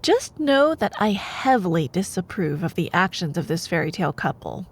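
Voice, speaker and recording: A woman in an ASMR bedtime storytelling style, expressive and playful, like a girlfriend reading aloud. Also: lowish voice, moderate pace, husky.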